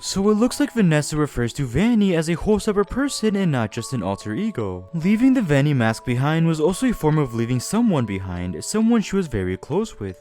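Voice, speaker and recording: A man's voice: on the high side, darkish tone. A man delivering scripted, emphatic, explanatory narration in the style of a video game theory essay. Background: background music.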